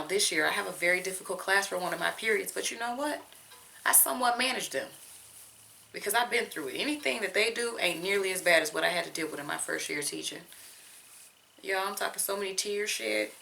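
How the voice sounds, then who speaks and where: A young woman from Georgia speaks with a Southern accent, her voice low-pitched but bright and forward. She chats candidly and casually to her viewers as she works through her hair at home. A faint ambient hiss sits under the camera-mic sound. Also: fairly quick, vocal fry, low-quality recording. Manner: candid, chatty, talks straight to viewers with casual slang. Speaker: teacher and vlogger. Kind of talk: casual chatty vlog while detangling hair.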